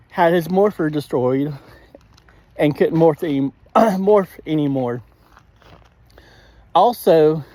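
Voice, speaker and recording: A man giving a casual spoken episode review in a halting way, often clearing his throat. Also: on the high side, mellow.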